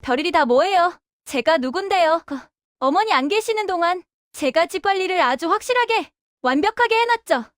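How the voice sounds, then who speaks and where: Casting: female actor as oblivious, boastful daughter-in-law for family radio drama, extremely high voice, dry close sound. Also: expressive, normal speed, fairly bright tone, no echo. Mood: chirpy, proud. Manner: breezy, boastful, dismissive. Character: brash, chirpy, self-satisfied daughter-in-law, oblivious and tactless. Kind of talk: Korean family audio drama acting.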